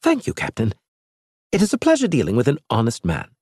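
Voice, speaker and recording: A man voicing a cunning, fussy alien male trader, raising his voice high and indignant. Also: wildly swooping pitch, a little fast, a little mellow, husky, no room sound, clean studio sound. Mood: wheedling.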